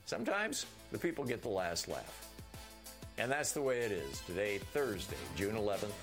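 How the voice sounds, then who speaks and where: An older man hosting a political talk radio show, delivering forceful, indignant commentary and taking calls. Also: on the low side, very animated, normal speed, over music.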